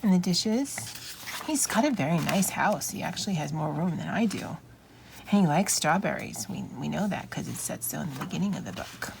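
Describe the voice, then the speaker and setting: A woman's voice: low voice, normal speed. A woman chatting casually as she comments on a craft flip-through, musing informally with wry asides. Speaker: craft channel host. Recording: clear steady background noise.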